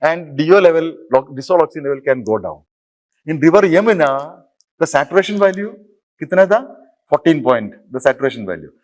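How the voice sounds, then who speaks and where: A man's voice: on the high side, sing-song, brisk, a little mellow. A middle-aged man from Kerala, speaking English with a Malayalam accent, giving a university lecture and often asking the audience questions. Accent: Malayalam-influenced Indian English. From Kerala, India. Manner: interactive, asks audience questions, explains step by step. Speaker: professor, lecturer. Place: lecture hall.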